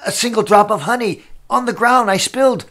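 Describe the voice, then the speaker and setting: A man's voice: high-pitched, brisk, fairly bright tone, pitched above the performer's own voice. A man voicing an anxious, deferential royal servant in a folk tale, flustered and hesitant. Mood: flustered, apologetic.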